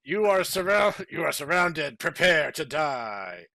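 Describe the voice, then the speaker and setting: Man doing a loud, pompous villain soldier. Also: fairly high pitch, very animated, measured pace, gravelly, no room sound, full character voice. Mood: menacing. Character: menacing dark enemy soldier, pompous and taunting.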